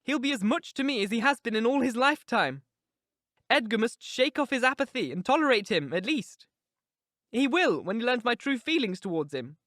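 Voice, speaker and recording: A woman's voice: a little high, animated, normal speed, fairly bright tone, dry recording, clean studio sound, pitched above the performer's own voice. A woman voicing an impetuous, headstrong young woman who speaks with intense, emphatic passion in an audiobook reading. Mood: vehement.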